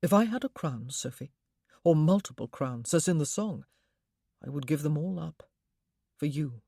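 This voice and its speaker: A woman's voice: sits low, lively intonation, moderate pace, vocal fry, mellow, breathy edge, no room sound, exaggerated voice. Lady reading a lovestruck husband, insistent, dry close audio. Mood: devoted. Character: devoted young husband, warm and insistent.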